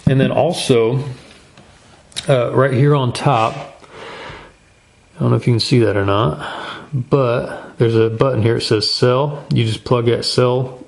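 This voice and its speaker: A man's voice: mid-range voice, steady tone, a little slow, vocal fry, pauses often, a little hiss or hum. A man hosting a casual product review in an informal, folksy, plain-spoken way. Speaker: gear review channel host.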